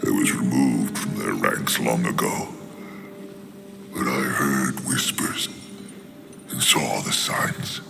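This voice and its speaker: An actor playing an ancient, solemn demonic lord in a video game, speaking in grave, formal pronouncements with a gravelly voice. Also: male voice, very deep voice, loud music behind the voice, full character voice. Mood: resolute. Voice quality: gravelly, cavernous. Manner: slow, grave, formal pronouncements with dramatic pauses. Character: ancient solemn Lord of Hollows, demonic soul-gathering being. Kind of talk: dramatic video game acting.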